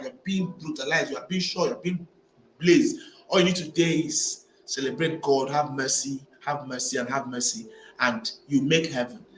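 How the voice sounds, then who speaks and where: Emphatic high-voiced man in an echoey room. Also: fairly bright tone. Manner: emphatic, repeats phrases while building arguments. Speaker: vlogger. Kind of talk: opinionated commentary to camera. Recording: echoey room with faint ambient noise.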